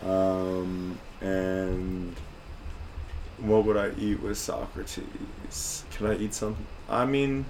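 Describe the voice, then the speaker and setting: A man's voice: low voice, little pitch movement, extremely slow, a little mellow, slight background noise, low-quality recording. A man in his twenties co-hosting a podcast, talking in a laid-back, rambling way with lots of fillers. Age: 20s. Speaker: podcast co-host. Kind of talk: casual chat.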